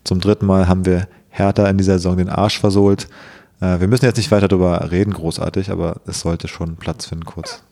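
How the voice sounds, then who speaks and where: A young man, slightly hoarse, chatting casually as a podcast co-host and rambling with many fillers. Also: sits low, level tone, a little fast, mellow.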